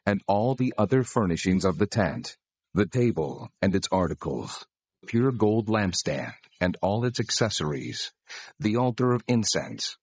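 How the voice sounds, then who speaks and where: God as a solemn, commanding male deity in dramatized scripture. The voice sits low and has a rough, husky grain. Delivery is deliberate and authoritative, recorded close and dry. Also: expressive, a little mellow, dry recording.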